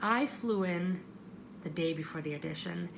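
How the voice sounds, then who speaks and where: Female vlogger, casual and digressive, gravelly fry, self-interrupting, with clear background noise. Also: lowish voice, normal speed. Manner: self-interrupting, repeats phrases for emphasis. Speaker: vlogger giving audition advice. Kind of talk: casual rambling advice chat.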